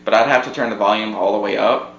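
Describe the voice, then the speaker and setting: A man's voice: lowish voice, fairly even intonation, quick pace, a bit bright. A man co-hosting a casual home podcast, talking in an informal conversational way. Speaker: podcast co-host. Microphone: podcast microphone on a tripod. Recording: muffled roomy podcast mic, background hum.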